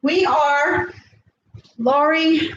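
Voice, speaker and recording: A woman's voice: high voice, very slow, fairly bright tone, lo-fi sound, slight background noise. Chatty Texan woman in an echoey kitchen. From South Texas, USA. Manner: rambling, friendly, often laughs and trails off. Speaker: cooking vlogger on a livestream. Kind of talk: chatty live cooking stream, reading viewer comments. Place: home kitchen.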